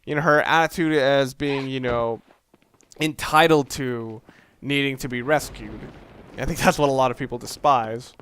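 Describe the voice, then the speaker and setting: A man's voice: mid-range voice, fairly even intonation, moderate pace, fairly bright tone, a little hiss or hum. A young man giving casual, rambling gameplay commentary, swearing often and poking fun at himself. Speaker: let's play commentator.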